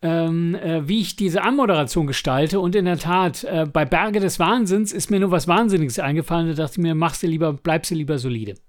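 Male co-host for a casual review podcast. Slightly high register, halting, rephrases as he goes. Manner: hesitant, halting, self-correcting mid-sentence. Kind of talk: casual conversational review talk.

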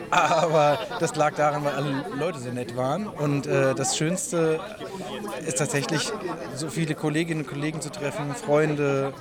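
Lightly high male voice, loud crowd. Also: fairly even intonation, moderate pace. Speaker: interviewee. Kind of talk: casual chat. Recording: loud crowd noise at event.

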